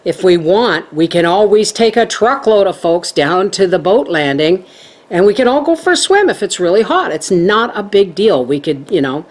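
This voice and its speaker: A middle-aged woman chatting to her viewers as a homestead vlogger, telling stories in a lively way. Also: medium pitch, normal speed. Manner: chatty, animated storytelling. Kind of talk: casual chat to viewers.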